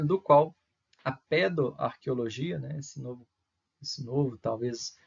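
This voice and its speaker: A man's voice: medium pitch, takes it slowly, vocal fry, breathy edge, highs cut off. A man from in Brazil, lecturing to students in a hesitant way, with many fillers, restarts and tag questions. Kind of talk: recorded university lecture.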